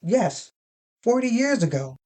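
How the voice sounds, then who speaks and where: A woman's voice: on the high side, very animated, unhurried, dark tone, pitched above the performer's own voice. A woman acts out a pompous older male HR director in an audio drama. He speaks in a raised, pointed tone, boasting and probing as he questions a candidate. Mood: pointed, self-important.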